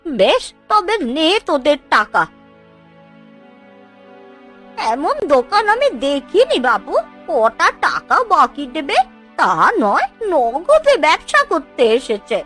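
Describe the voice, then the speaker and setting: Woman playing a high-pitched grumbling old village aunt. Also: huge pitch swings, a bit bright, faint music behind, heavily acted. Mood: offended, scolding. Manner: scolding, folksy, drawn-out complaints. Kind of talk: Bengali cartoon dubbing.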